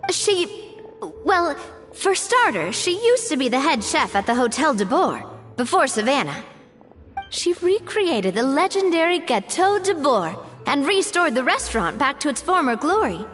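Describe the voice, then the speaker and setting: An actress voicing a knowledgeable young woman who explains things patiently, with gentle hesitations, in video game dialogue. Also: sits high, big pitch swings, normal speed, in a large hall, soft background music. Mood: informative.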